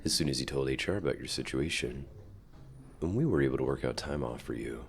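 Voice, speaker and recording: An extremely low-voiced male CEO, acted by a man for an ASMR roleplay, with a heavy gravelly creak. He is suave and courteous, addressing the listener in measured, reassuring corporate phrases with quiet dominance. There is faint noise behind the voice. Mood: solicitous, composed. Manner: smooth, measured, polite corporate reassurance, deliberate pauses.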